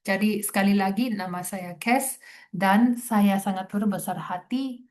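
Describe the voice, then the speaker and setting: A woman's voice: medium pitch, measured pace, a little mellow. A young woman from Sabah with a Sabahan Malay accent, hosting a trading webinar in a chatty style and dropping English words into her Malay. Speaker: webinar host and trader.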